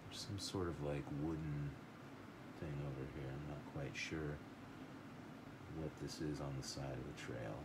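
A middle-aged man with a very deep voice talks slowly through a watercolor lesson, musing aloud as he paints. He is picked up by a camera over his table at home, with clear room noise behind him. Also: fairly even intonation. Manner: unhurried, thinking aloud while painting. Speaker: art teacher.

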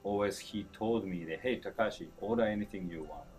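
A low-voiced man in his fifties speaks English with a Japanese accent, his phrasing broken and warm with small chuckles. He is seated at an indoor store event, and faint music plays behind him. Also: fairly quick. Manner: broken English, chuckling, animated with hand gestures. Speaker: chef being interviewed. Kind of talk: casual interview answers.

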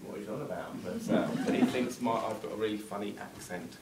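A man's voice: on the low side, a little fast, very noisy. A young man, a folk musician, telling a self-deprecating story between tunes with chuckles along the way. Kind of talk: casual stage banter between tunes. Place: small arts venue hall with seated audience. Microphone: far camera. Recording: roomy hall from far off, audience.

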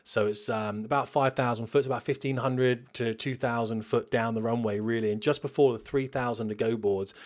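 A man's voice: mid-range voice, fairly even intonation, brisk, hardly any pauses. A British man in his forties, a flying instructor, giving an informal, chatty lecture with frequent rhetorical questions. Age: 40s. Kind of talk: informal instructional lecture over a drawn map.

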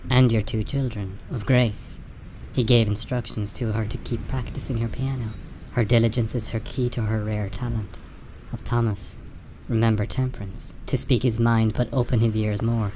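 An actor playing a calm, cryptic spirit messenger in a radio play, measured, terse and detached. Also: medium pitch, noisy background, lightly acted.

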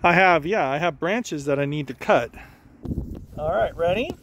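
A man's voice: fairly high pitch, animated, normal speed, highs cut off. A young man, a father, patiently talks his small son through using a new tool outdoors in a backyard, recorded on a head-mounted camera. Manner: patient, gently instructing a child. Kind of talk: casual family vlog chat. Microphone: head-mounted action camera. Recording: outdoor camera with clear background noise.

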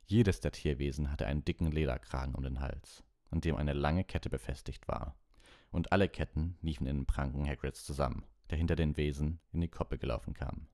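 Very deep-voiced man narrating a storybook. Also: fairly even intonation, fairly quick, darkish tone, dry recording, studio quality. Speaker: audiobook reader.

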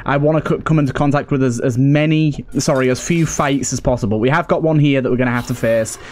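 A man's voice: mid-range voice, fairly even intonation, quick pace, darkish tone. A man giving casual commentary as he plays a video game, as host of a let's play.

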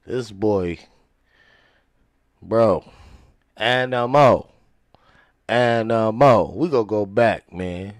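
A man's voice: on the low side, very slow, pauses often, no echo. An American man giving casual commentary as a reaction host, in slangy, exclamatory short bursts. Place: home studio, indoors. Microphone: close studio microphone with headphones.

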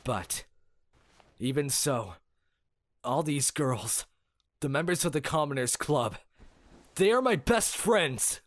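Man acting an earnest teenage schoolboy, swooping pitch. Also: animated, takes it slowly, pauses often, no echo. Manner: hesitant, then impassioned. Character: earnest, pleading teenage commoner schoolboy defending his friend. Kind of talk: anime fandub acting. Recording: music behind the voice.

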